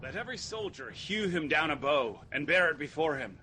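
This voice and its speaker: An actor playing a resolute noble army commander in a Shakespearean audio drama, formal and commanding, with faint noise. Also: male voice, on the high side, expressive, a little fast, natural voice.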